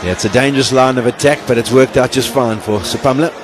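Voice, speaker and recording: A man giving calm, analytical live cricket commentary. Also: mid-range voice, fast talker. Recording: broadcast with loud crowd and noise.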